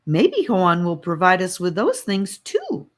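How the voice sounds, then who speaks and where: A woman voicing a feisty, bossy but caring young girl in an audiobook, with an emphatic, expressive delivery. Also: on the low side, measured pace, voice pushed up. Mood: bright, eager. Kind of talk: audiobook character voice.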